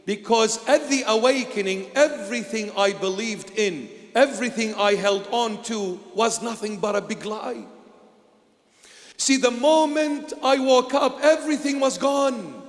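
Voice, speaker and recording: Man, bishop preaching slowly in a large echoing hall; dramatic swings in volume. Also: high voice. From Iraq. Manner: dramatic, swings from loud exclamations to hushed lines. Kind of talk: sermon.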